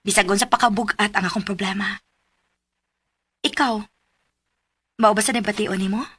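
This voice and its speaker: An actress playing a gentle, devout woman who gently coaxes a troubled friend to open up, in a radio drama. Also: medium pitch, steady tone, normal speed, slightly rough, no room sound. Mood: caring. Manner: warm, coaxing, concerned.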